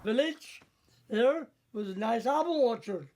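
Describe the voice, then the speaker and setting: A man's voice: very high voice, animated, unhurried, darkish tone. An older man with a broad West Country accent, rambling through village anecdotes in a played clip. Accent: West Country English. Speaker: interviewed villager in archive clip. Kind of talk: reminiscing storytelling.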